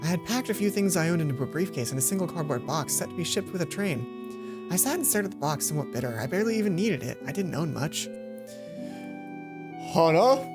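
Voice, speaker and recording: A woman's voice: on the low side, animated, quick pace, music in the background. A woman hosting a let's play, reading a visual novel's narration aloud and sometimes stumbling and rereading lines.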